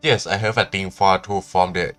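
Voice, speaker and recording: A man's voice: sits low, steady tone, fast, a bit bright, clean tone. A man with a Thai accent, a native Thai speaker, giving playful, casual live commentary as a game streamer and switching languages.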